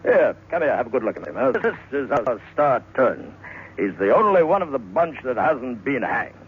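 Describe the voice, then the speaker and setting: A man's voice: medium pitch, big pitch swings, normal speed, breathy edge, phone-quality sound, voice pushed up. A man playing a garrulous waxworks manager in old radio horror style, hesitant and chatty, relishing the gruesome. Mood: gloating, familiar. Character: garrulous waxworks manager, ghoulishly proud of his murderers. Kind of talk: 1940s radio horror acting. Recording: thin old radio recording, music underneath.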